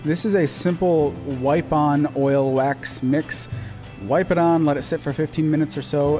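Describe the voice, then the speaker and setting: A man's voice: medium pitch, a little mellow. A man in his thirties talks through a woodworking build in a voice-over. His delivery is plain and practical, with small restarts along the way, over faint background music. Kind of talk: voice-over narration of a workshop project.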